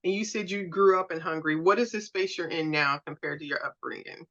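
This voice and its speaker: Bright-voiced woman podcast host. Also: on the low side, fairly quick, lo-fi sound. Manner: earnest, searching questions. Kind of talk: casual interview.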